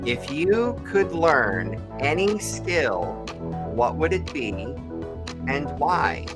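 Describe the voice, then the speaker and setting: Slow-talking male show host. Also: on the high side, sing-song, music in the background. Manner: slow, deliberate, repeats questions. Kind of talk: casual interview, game questions.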